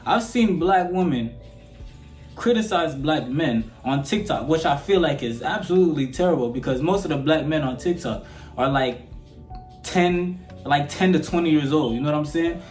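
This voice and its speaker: A man's voice: on the high side, expressive, moderate pace, a little mellow, faint music behind. An eighteen-year-old man from Sudan with an American accent, ranting to camera in a rambling, conversational way and often asking whether you get what he is saying. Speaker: vlogger. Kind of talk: opinion rant to camera. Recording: roomy echo.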